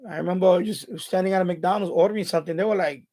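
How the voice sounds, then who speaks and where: Bronx-accented man, high gravelly voice. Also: fairly even intonation, quick pace, warm tone. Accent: Bronx New York. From born in Puerto Rico, raised in the Bronx. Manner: rambling, repeats and restarts words, reads chat aloud. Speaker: live stream host. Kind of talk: casual live-stream chat reading viewer comments.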